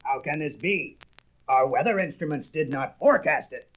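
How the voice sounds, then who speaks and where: An actor playing a cold, imperious alien invader commander with open contempt, in a comic book audio drama. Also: male voice, on the high side, animated, moderate pace, lightly acted. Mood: wary, commanding. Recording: noisy, dull old record with clicks.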